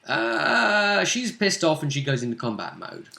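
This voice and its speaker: A man's voice: a little high, huge pitch swings, a little slow, gravelly, bright, forceful tone. A man casually recapping a tabletop role-play session as its game master, in conversation. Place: indoor room. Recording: roomy echoing home recording.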